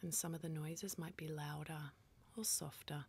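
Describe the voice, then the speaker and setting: Female meditation guide, low-pitched, very breathy and soft, calm gentle instruction. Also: moderate pace.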